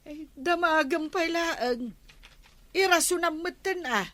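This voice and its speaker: A woman's voice: very high-pitched, slow pace, a bit bright, exaggerated voice. An actress playing a nervous, gossipy village woman with an Ilocano accent in a radio comedy drama, chatty and exclamatory. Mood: flustered.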